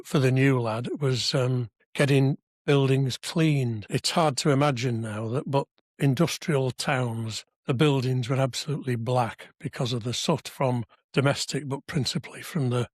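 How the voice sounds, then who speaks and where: A man in his seventies from Wales with a slightly husky voice, chatting through memories in an anecdotal way with dry asides. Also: mid-range voice, measured pace, no echo. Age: 70s. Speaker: podcast guest, civic society member. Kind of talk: conversational reminiscence. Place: podcast studio.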